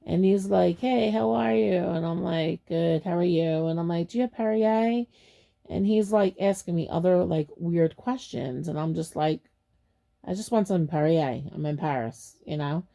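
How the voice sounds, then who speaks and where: A woman's voice: on the low side, normal speed, creaky, warm tone. A woman hosting a faceless storytime channel, telling a personal story in a rambling, chatty way and acting out her own reactions. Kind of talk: casual storytime monologue.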